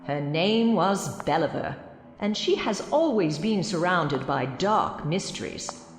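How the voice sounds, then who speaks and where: A woman's voice: on the low side, very animated, slow pace, soft background music. A woman playing a mysterious guide, narrating a radio drama in a theatrical storytelling manner. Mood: mysterious, inviting. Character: mysterious female guide narrating dark tales from a crypt.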